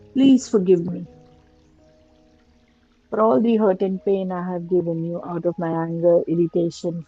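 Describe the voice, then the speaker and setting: A woman slowly guiding a prayer and meditation in a soft, repetitive litany of blessings. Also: fairly low pitch, husky. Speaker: meditation guide. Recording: dull lo-fi recording with faint music. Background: faint music.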